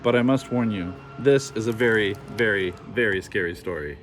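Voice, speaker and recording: A man voicing a patient father ghost in a storybook, firm and measured, warning with mock solemnity. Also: fairly low pitch, a bit bright, soft background music, close to an everyday voice.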